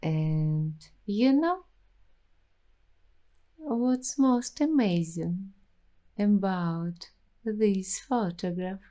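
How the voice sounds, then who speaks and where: A woman hosting an ASMR roleplay, speaking softly and reflectively in gentle, affirming words. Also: medium pitch, expressive. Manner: soft, slow, reflective and affirming. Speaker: ASMR host.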